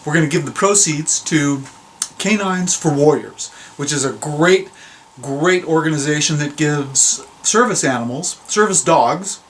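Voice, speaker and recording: A man with a slightly high voice hosts a video in a casual, informal way. He talks directly to his viewers as if chatting. Faint noise sits behind his voice. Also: animated, a little slow, a bit bright. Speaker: host of a video series. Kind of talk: casual chat introduction to a reading.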